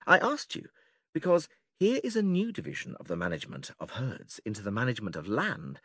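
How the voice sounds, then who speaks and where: A man playing a patient, methodical philosopher who leads an argument in a dramatised classical dialogue, measured, formal and questioning. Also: mid-range voice, huge pitch swings, fairly bright tone, breathy edge, no echo, clean studio sound, heavily acted. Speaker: audiobook actor reading philosophical dialogue.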